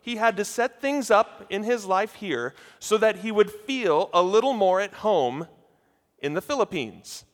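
A man in his thirties from the United States preaching a sermon at a seminary chapel, with a loud, projecting voice and a conversational manner, speaking directly to his listeners. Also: high-pitched, animated, measured pace. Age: 30s. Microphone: handheld or lapel mic. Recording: hall reverb.

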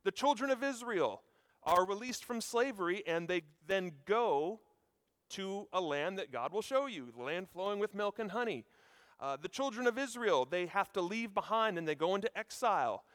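A man in his thirties from the United States preaches a sermon in a large hall, and the long reverb hangs after his loud, projecting voice. He pitches high and lively, swinging his intonation up and down as he talks with the congregation. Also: moderate pace. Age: 30s. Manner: conversational, animated, addresses listeners directly. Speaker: preacher at a seminary chapel. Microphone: handheld or lapel mic.

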